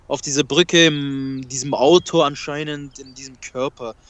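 A man's voice: mid-range voice, steady tone, normal speed, a bit bright. A man whose first language is Kurdish, talking emphatically and repeating himself in a casual spiritual conversation.

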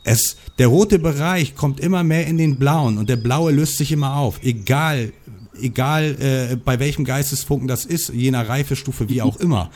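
A man's voice: mid-range voice, normal speed, darkish tone. A man in a casual spiritual conversation, lecturing with slang.

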